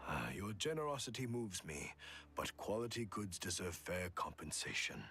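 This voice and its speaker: An actor playing a calm, courteous old martial artist in a video game, speaking in a formal, measured way. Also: male voice, deep voice, exaggerated voice. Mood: warm gratitude. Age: older. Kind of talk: scripted game voice acting.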